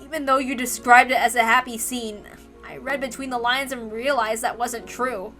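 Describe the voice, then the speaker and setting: A woman's voice: fairly high pitch, steady tone, moderate pace, sharp tone, faint music behind. A woman voicing a lonely, sombre young woman, earnest and brooding, in a story reading. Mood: challenging.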